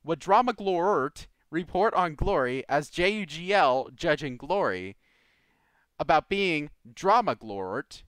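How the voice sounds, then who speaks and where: A man's voice: fairly high pitch, expressive, measured pace, a bit bright, clean tone, dry recording. A man hosting a live Q&A podcast in a casual, informal way, reading out questions and commenting loosely. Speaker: host of a live community Q&A show.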